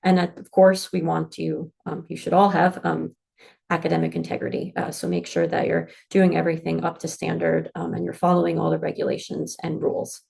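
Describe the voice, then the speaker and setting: Gravelly North American woman presenting. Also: low-pitched, flat delivery, a little fast, darkish tone. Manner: friendly, informative, frequent fillers. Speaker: international office staff presenter. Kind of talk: pre-departure info session.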